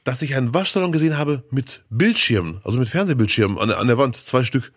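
A man with a slightly hoarse, scratchy voice from a cold, co-hosting a casual podcast and telling rambling stories full of fillers. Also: mid-range voice, expressive, quick pace, dry recording, studio quality. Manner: chatty, storytelling, rambling with fillers. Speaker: podcast co-host.

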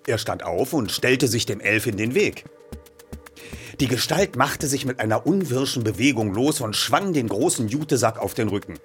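A man presenting and reading a story aloud in a lively narrating style. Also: medium pitch, moderate pace, soft background music. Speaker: audiobook reader and presenter.

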